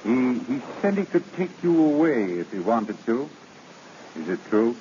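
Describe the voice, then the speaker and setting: An actor playing a proud, wounded husband in an old radio drama, with a formal, commanding manner. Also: male voice, medium pitch, very animated, unhurried, warm tone. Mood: hurt. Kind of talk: 1930s radio drama acting. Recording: muffled, noisy old radio broadcast recording.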